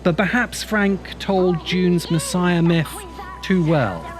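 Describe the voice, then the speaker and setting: A man narrates a scripted video essay in a slightly high voice. He is emphatic and opinionated, building rhetorical points, with music playing quietly underneath. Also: slow. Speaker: host and writer of science fiction video essays. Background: background music.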